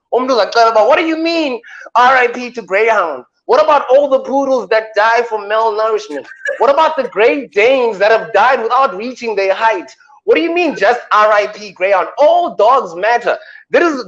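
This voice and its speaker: A South African man with a deep voice, a radio co-host doing playful comic banter with drawn-out punchlines. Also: a bit bright, dull audio.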